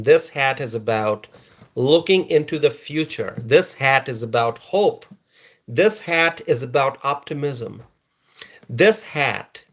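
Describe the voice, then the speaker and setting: A man's voice: mid-range voice, creaky. A man from Bangladesh hosting a casual live stream, reading viewers' comments aloud and repeating phrases for emphasis.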